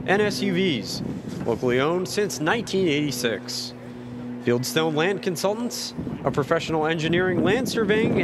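A man's voice: high voice, background noise. A man who hosts a college sports broadcast, interviewing a coach, reading sponsors and wrapping up the game in an upbeat style.

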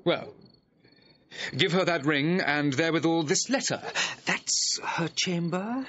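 Forceful, haughty young gentleman. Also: on the high side, expressive, takes it slowly, full character voice. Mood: commanding, earnest. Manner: declamatory, commanding. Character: young gentleman master, haughty, impatient, lovelorn. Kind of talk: classical verse audio drama acting. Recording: dull, slightly noisy restored old recording.

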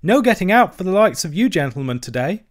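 A man's voice: high voice, big pitch swings, quick pace, voice pushed up. A man voicing a young hedgehog in a storybook, respectful and earnest, with humble rustic speech. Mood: respectful, eager. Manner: deferential, rustic ungrammatical speech.

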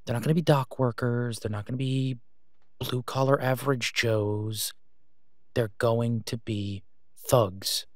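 An exasperated, protective masked vigilante, acted by a man in an audio drama. His mid-pitched male voice is urgent and emphatic, pausing to press each point as he pleads down the phone. The recording is close and dry. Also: fairly even intonation, dry recording, natural voice. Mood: exasperated, warning. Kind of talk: one-sided phone-call audio roleplay.

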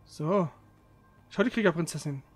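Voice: medium pitch, moderate pace. Streamer thinking aloud over game music. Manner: thinks aloud, asks questions while playing. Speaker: streamer playing a video game. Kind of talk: casual live game commentary.

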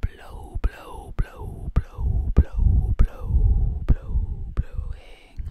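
A young woman performs ASMR in a breathy, unvoiced whisper, very close to the microphone. She takes her time, moving slowly and repeating words and short phrases in a rhythmic way. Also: very high voice, lively intonation, narrow, tinny audio. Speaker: ASMR performer playing a time-travelling zombie princess. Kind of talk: ASMR whispered roleplay ramble. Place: dim home room, indoors. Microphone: close ear-to-ear microphone.

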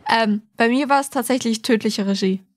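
A woman's voice: fairly high pitch, normal speed. A young woman co-hosting a podcast in casual conversation. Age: young adult. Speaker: podcast co-host.

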